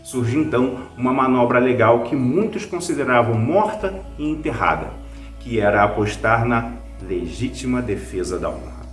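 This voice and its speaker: A Brazilian-accented man hosts a scripted review. His mid-pitched voice moves with lively intonation, in a roomy sound with soft music behind. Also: normal speed. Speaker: host, writer and reviewer. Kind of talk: scripted podcast review narration.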